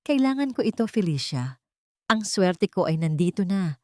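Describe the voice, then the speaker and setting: Casting: heartless gambling husband, read by a woman, snarling, pushy and greedy, low pitch, audio drama. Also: sing-song, moderate pace, warm tone, no echo, clean studio sound. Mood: greedy insistence. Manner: snarling, insistent. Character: callous, greedy gambler husband, adult man.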